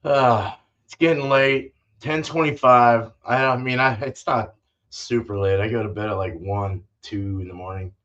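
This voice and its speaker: A man's voice: mid-range voice, steady tone, normal speed, a bit bright, highs cut off. An older man chatting casually and laid-back on a livestream, rambling and swearing freely, saying dude and man often. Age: older, fifties or sixties. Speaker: livestream host, homebrewer. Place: small indoor home brewing room.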